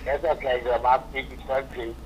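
A man's voice: mid-range voice, flat delivery, brisk, a crowd in the background. A man, a drag performer, talking as a guest in a casual interview, sassy and blunt in his opinions. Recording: dull lo-fi recording.

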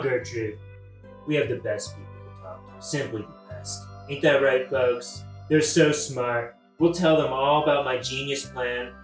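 A man's voice: mid-range voice, lightly acted. An actor playing a boastful, peevish king with a pompous, superlative-heavy way of talking in a satirical audio play. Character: boastful, self-congratulating, peevish modern-slang king. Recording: roomy, distant mic, music behind.

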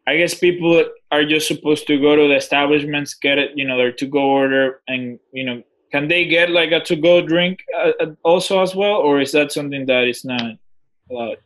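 A man hosting a podcast, asking friendly, slightly halting questions. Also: fairly high pitch, fairly bright tone, poor audio. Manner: friendly, hesitant questions with fillers. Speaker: podcast host. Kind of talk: casual interview.

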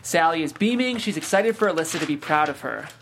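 Low-voiced woman reading stage directions. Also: expressive, fast, a little hiss or hum. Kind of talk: scripted narration.

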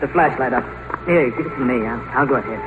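An actor in a 1950s radio drama playing a glib, callous doctor with a mocking, breezy manner. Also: male voice, medium pitch, fast, over music, close to an everyday voice. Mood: brisk, commanding. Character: sardonic, glib, callous doctor, teasing and unafraid. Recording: thin, noisy old radio broadcast.

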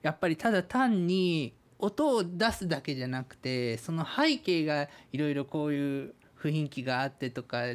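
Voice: mid-range voice, expressive, moderate pace, few pauses, clean tone. A polite, somewhat hesitant guest giving casual answers in an interview. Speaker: listener guest who acted in radio drama.

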